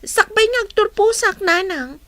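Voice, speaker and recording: A woman's voice: very high-pitched, animated, measured pace, pushed and bright, dry recording, exaggerated voice. A woman with an Ilocano accent playing an earnest young college student daughter in a radio drama. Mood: explaining.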